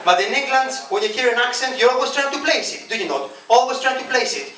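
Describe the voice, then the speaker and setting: The voice comes from a young man with a thick Greek accent, doing stand-up on a comedy club stage. His pitch sits high for a man, and he talks in a self-mocking, conversational way. He sounds distant and echoey over loud club noise. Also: animated, quick pace. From Greece. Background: audience laughter.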